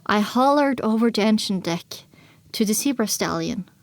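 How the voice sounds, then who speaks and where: A woman playing a young pony mare who tells her own story in earnest, measured audiobook narration. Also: mid-range voice, lively intonation, dry recording, lightly acted. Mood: attentive, measured. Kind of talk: scripted first-person audiobook narration.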